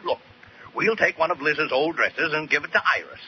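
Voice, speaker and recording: A man's voice: on the high side, sing-song, normal speed. An actor playing a jovial, scheming middle-aged married businessman in a hearty, conspiratorial old radio sitcom style. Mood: amused, plotting. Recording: muffled, noisy vintage radio recording.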